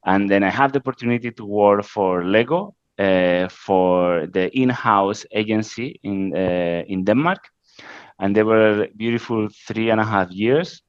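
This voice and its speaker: A middle-aged man from Spain talks in accented, halting English, often stopping on "uh" as he searches for words. His voice sits low, and the recording is dry and a little muffled. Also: smooth, dry recording. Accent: Spanish. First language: Spanish. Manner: halting, frequent "uh" fillers, non-native grammar. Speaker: interview guest, creative director. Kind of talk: casual interview answers.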